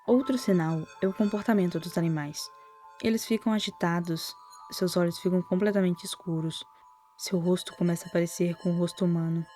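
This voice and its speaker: A Brazilian woman narrating a scripted horror commentary in a soft-spoken way, with lightly wry asides. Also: fairly low pitch, steady tone, a little fast. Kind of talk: whispered-style scripted narration, storytelling commentary. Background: music.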